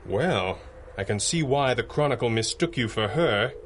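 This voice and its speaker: Commanding male pulp superhero, dry wit, forceful bright voice, noisy background. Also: mid-range voice, animated, moderate pace. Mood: brisk, dry. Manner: authoritative, dryly witty. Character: commanding masked 1930s male superhero with hypnotic powers. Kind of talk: radio drama acting in a pulp serial style.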